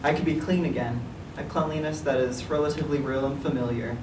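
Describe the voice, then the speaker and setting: A man reading his own writing aloud at a library event, in a measured, even delivery. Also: fairly high pitch. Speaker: writer reading his work. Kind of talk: literary reading. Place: library meeting room. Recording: echoey room, distant mic, some noise.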